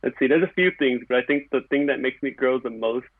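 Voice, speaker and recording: A man's voice: mid-range voice, fast, no echo. A man talking earnestly and reflectively as a podcast guest, in short phrases with fillers. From California. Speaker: podcast guest, background painter. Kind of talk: casual conversation. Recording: tinny phone-like line, very dry.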